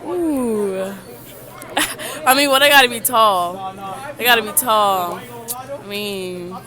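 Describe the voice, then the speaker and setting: A woman's voice: on the high side, takes it slowly. A teenage girl chatting casually as a student being interviewed. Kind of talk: casual chat. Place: busy high school grounds. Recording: loud crowd chatter, off the mic.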